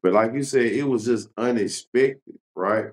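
A man's voice: medium pitch, measured pace, a little mellow. A man hosting a casual interview as a blogger, talking in a slangy, conversational and reflective way.

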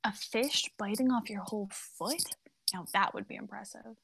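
A gentle, thoughtful mother in her early thirties, played by a woman in a dramatic reading. The voice is medium in pitch with plenty of creak, recorded very dry. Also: moderate pace, dry recording, poor audio. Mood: gentle, reassuring.

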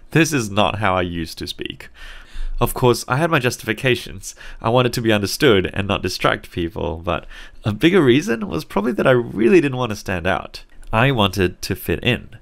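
A young man from Singapore, speaking with an Australian accent, narrating a scripted psychology lesson in a clear, conversational and lightly wry way. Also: medium pitch, fairly bright tone. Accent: Australian English, learned as an adult. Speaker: psychology teacher.

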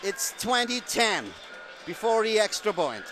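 A male commentator speaking English with a German accent, not his native language, calling a live football game and getting excited on the scores. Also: high-pitched, measured pace, crowd noise. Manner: excited, rising to shouts on scores. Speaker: sports commentator.

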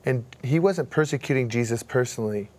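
A man's voice: mid-range voice, a little fast, a little mellow. A man in his forties sharing an earnest Bible reflection in a studio class, on a lapel mic. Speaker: class participant. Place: TV studio set.

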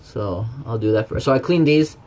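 A man's voice: medium pitch, animated, normal speed, a little mellow. A man giving a casual hands-on tutorial in an informal, plain-spoken way, explaining step by step. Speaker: presenter of DIY how-to videos. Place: around a backyard tub and a workshop. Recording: handheld camera with background noise.

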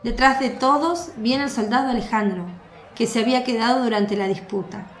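A woman narrating a radio drama with a clear storytelling delivery. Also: on the high side, fairly quick. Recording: echoey room.

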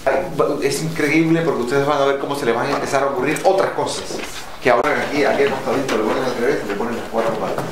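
A middle-aged Ecuadorian man with an Ecuadorian accent, leading an art workshop and explaining a drawing exercise in a thoughtful, halting way. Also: medium pitch. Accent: Ecuadorian Spanish. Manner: thoughtful, halting, with many fillers. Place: large echoey dome indoors with people around. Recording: echoey room, distant mic, noisy.

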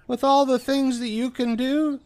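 A man's voice: very high voice, little pitch movement, a little slow, darkish tone, voice pushed up. An older man voicing curious, skeptical, then admiring young children in a picture book, in a singsong, exclaiming way. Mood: friendly, advising. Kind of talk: picture book read-aloud.

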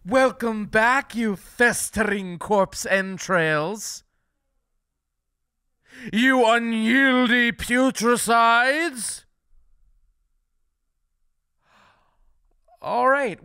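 Bright and high-pitched, this is a cheeky young male co-host of a horror podcast. He is casual and eager, excitable in the way he talks, in an audio drama style. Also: smooth, no echo, clean studio sound, full character voice. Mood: showy, playful.

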